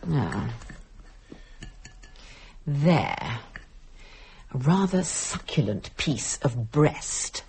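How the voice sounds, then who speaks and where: An actress playing a brisk, sardonic, plucky woman who takes charge and questions insistently in a radio drama. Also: extremely low pitch, very animated, a little mellow, a little hiss or hum, exaggerated voice. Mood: brisk irony. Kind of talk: dramatic radio play acting.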